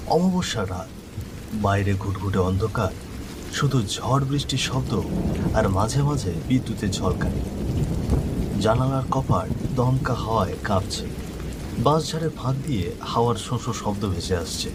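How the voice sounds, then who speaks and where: A man narrating a scripted horror story. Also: mid-range voice. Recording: loud rain and thunder behind voice. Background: rainstorm, thunder.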